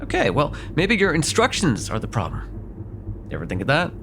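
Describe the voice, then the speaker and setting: A man's voice: fairly high pitch, wildly swooping pitch, fairly quick, creaky, noisy background, heavily acted. A man playing a sardonic, grudgingly apologetic vampire in an immersive roleplay, talking in a wry, hesitant, conversational way with some swearing. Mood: wry, defensive. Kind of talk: immersive ASMR roleplay acting, one side of a dialogue.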